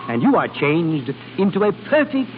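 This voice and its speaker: An actor playing an exacting, unsentimental guardian with a clipped, lecturing manner in an old radio drama. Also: male voice, fairly high pitch, big pitch swings, normal speed. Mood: brisk, hopeful. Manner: clipped, stern, dryly lecturing. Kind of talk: 1930s-40s radio drama acting. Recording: tinny phone-like, hissy old radio broadcast.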